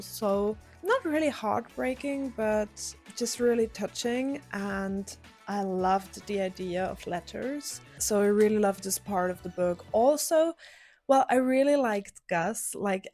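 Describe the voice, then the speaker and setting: A woman's voice: mid-range voice, darkish tone. A woman book vlogger talking to camera in a casual, chatty, enthusiastic way.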